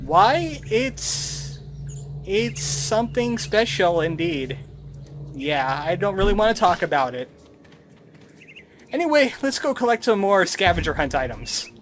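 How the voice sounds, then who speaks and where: A man's voice: high-pitched, big pitch swings, measured pace, soft background music. A man giving casual commentary as he plays through a video game. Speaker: let's player.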